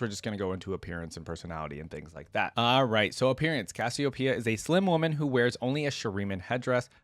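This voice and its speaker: A man's voice: medium pitch, a bit bright, no echo. A man giving casual reaction commentary as a video host, talking informally and often hedging or correcting himself. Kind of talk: casual reaction commentary, partly reading aloud from a wiki.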